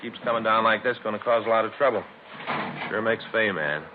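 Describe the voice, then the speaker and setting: A man's voice: fairly low pitch, brisk, narrow, tinny audio, lightly acted. An American man playing a chatty married police detective in a 1950s radio drama, folksy, conversational and mildly grumbling. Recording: thin, lightly noisy 1950s radio recording.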